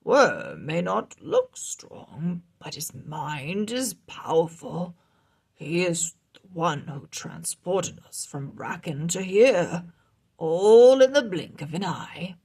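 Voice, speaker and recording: Woman as a deliberate, assured storybook wizard. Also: lowish voice, sing-song, vocal fry, darkish tone, breathy edge, full character voice. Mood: proud. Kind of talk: children's storybook read-aloud.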